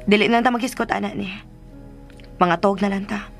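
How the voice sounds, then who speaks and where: An actress playing a jealous young expectant mother in a radio drama, measured and wounded. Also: medium pitch, creaky, sharp tone, exaggerated voice. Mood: subdued. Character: jealous pregnant young woman, hurt but restrained.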